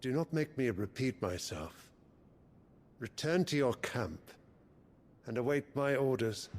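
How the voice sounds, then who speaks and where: An actor playing a cold, ruthless ageing emperor in a fantasy game, speaking in a regal, measured and commanding way. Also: male voice, mid-range voice, animated, natural voice. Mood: stern, displeased. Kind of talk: cinematic fantasy game acting.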